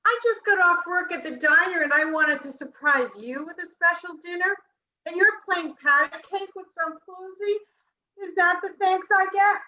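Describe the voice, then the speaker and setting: Very high, girlish jealous sweetheart. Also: moderate pace, sharp tone, heavily acted. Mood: jealous, suspicious. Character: jealous, excitable diner waitress girlfriend, sweet but shrill. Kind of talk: campy 1940s radio noir acting. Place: live community theatre stage performance. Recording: dull, distant, echoey stage pickup.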